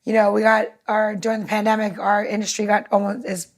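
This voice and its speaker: A woman's voice: mid-range voice, level tone, fairly quick. A woman co-hosting a podcast in casual conversation, talking in an earnest, rambling way. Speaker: podcast co-host.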